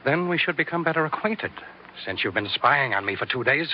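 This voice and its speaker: An actor playing a coolly polite, menacing gangster in an old radio thriller, speaking with sinister, measured courtesy. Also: male voice, medium pitch, animated, close to an everyday voice. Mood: coldly menacing. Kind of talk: 1940s radio thriller acting. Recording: noisy, dull old radio recording.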